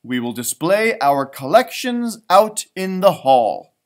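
A man voicing a male schoolteacher instructing his class in a storybook, loud and deliberate, stretching words. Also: high voice, sing-song, exaggerated voice. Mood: instructive.

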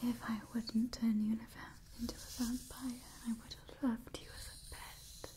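A young woman playing a refined, doting vampire clan leader in a whispered ASMR roleplay, speaking in a genteel, gently imperious manner. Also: on the high side, level tone, normal speed, close to an everyday voice. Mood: wistful, haughty. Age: young adult. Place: small indoor room with wooden backdrop.

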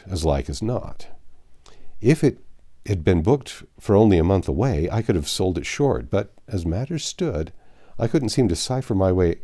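Low, mellow male storyteller. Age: 50s or 60s. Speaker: audiobook narrator. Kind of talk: reading a classic novel aloud. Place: small home library, indoors.

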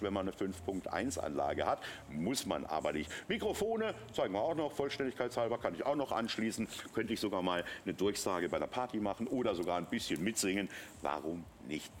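A man's voice: lowish voice, sing-song, a little fast, a little hiss or hum. A middle-aged man presenting a product on a shopping channel in a chatty, enthusiastic, unscripted way with emphatic repetition. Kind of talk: unscripted live product demonstration. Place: TV shopping studio.

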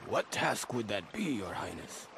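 A man's voice: fairly low pitch, big pitch swings, unhurried, a little hiss or hum, exaggerated voice. A man playing an earnest elderly warrior champion in a video game, speaking formally and courteously. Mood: dutiful, earnest. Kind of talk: video game cutscene acting. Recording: loud game music behind.

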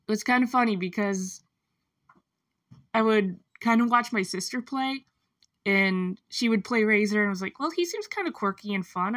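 A woman's voice: mid-range voice, no room sound, studio quality. Stuffy-nosed young woman, rambling art vlog. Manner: rambling, self-deprecating, soft laughs. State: congested, stuffy nose. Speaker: art channel host. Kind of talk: casual voice-over while sketching.

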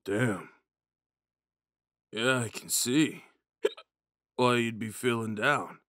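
Man acting a slow-talking drunk himbo jock. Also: mid-range voice, fairly bright tone, no room sound, studio quality. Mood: protective, goofy. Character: drunk, dim, sweet young male college jock. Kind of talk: ASMR audio roleplay.

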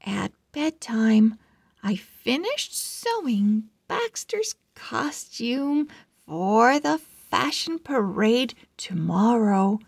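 A woman reading a children's story aloud with deliberate, dramatic phrasing. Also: a little high, big pitch swings, vocal fry, husky, no echo, clean studio sound. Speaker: children's book read-aloud host. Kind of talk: expressive read-aloud narration for young children.

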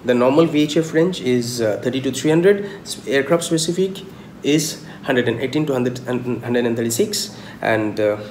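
A young man giving an informal technical lecture in a halting, repetitive way, often asking questions and answering them himself. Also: medium pitch, animated, darkish tone. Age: young adult. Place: small indoor room at home. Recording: roomy echo, clear background noise.

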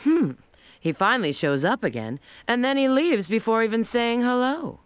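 A woman's voice: fairly high pitch, sing-song, moderate pace, clean tone, no echo. Sassy, swooping female spy. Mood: teasing. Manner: teasing, sly. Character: sassy, flirty adult female bat secret agent. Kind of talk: video game cutscene acting.

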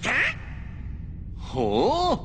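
Swooping, goofy cartoon dog. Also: male voice, very high-pitched, wildly swooping pitch, very slow, noisy background, heavily acted. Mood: puzzled. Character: slow, kindly, goofy cartoon dog sidekick. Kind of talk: cartoonish video game voice acting.

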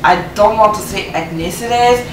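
A woman's voice: mid-range voice, moderate pace. A young woman from Cameroon whose first language is French, chatting casually to camera as a fashion vlogger, filmed in her apartment living room. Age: young adult. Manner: chatty, lots of fillers, laughs at herself. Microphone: far camera. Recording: roomy echo, distant mic, background noise.

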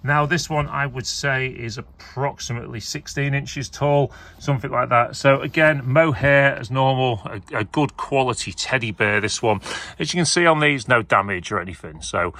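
A man talking through auction lots in a chatty, rambling way, full of little fillers and asides. His voice is bright and forward, sitting at an everyday middle pitch. He speaks close to a handheld phone indoors. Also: moderate pace, no room sound. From England. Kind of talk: casual video walkthrough. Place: indoors beside a leather armchair.

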